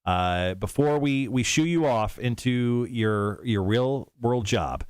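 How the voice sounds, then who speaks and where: A man's voice: medium pitch, takes it slowly, a little mellow, clear, resonant tone, dry recording. A man co-hosting a morning radio show in a studio, chatting loosely and laughing often. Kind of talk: casual morning radio chat.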